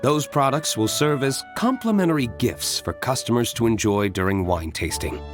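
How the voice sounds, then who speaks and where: An actor playing a composed, courteous young winery owner in a video game, measured and businesslike. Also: male voice, medium pitch, animated, faint music behind, lightly acted. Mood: pleased, composed.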